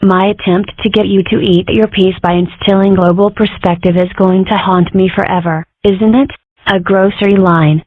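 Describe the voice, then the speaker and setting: A woman's voice: mid-range voice, fairly even intonation, moderate pace, no echo, close to an everyday voice. A woman voicing an exasperated, pedantic lawyer mother in a cartoon comic dub. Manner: pedantic, lamenting. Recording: tinny, phone-like audio.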